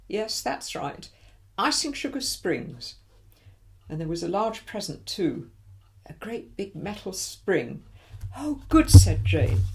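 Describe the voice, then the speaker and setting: A woman narrates a children's story in a fairly low voice with a touch of gravel. Her delivery is expressive and swings widely in pitch, leaning on key words for young listeners. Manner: animated, dramatic emphasis for young listeners. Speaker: volunteer story reader.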